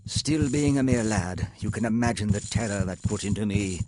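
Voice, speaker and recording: A man's voice: on the low side, normal speed, a little mellow, lightly acted. A man playing a wealthy older industrialist in a video game, proud yet haunted, speaking formally and articulately with a wistful storyteller's manner. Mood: grave, reminiscing. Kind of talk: scripted video game dialogue.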